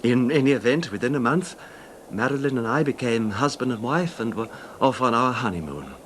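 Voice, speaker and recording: A wistful middle-aged gentleman recluse played by a male actor, courtly and hesitant. His voice moves freely up and down between reflective pauses, in old radio play style, on a slightly hissy vintage broadcast recording. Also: mid-range voice, lively intonation, natural voice. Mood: nostalgic. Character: wistful, genteel middle-aged rich recluse, besotted husband. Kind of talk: 1970s radio drama acting.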